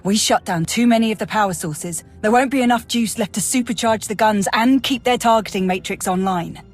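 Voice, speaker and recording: A woman's voice: mid-range voice, brisk, faint music behind, lightly acted. A woman playing a cocky, wisecracking Imperial military officer in a video game, with a breezy, plain-spoken, sardonic manner. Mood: cautioning.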